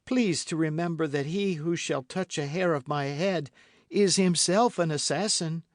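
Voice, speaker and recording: A man voicing a desperate condemned young woman who shrieks defiance and pleads for her life, high and strained. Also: measured pace, no room sound, studio quality, natural voice. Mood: defiant. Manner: shrill, cries out in high strained pleas.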